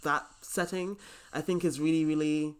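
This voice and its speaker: A woman's voice: low voice, steady tone, normal speed, clear, resonant tone. British woman podcast host, chatty and informal, agreeing with her guest, just a casual interview. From Britain. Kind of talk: casual chat.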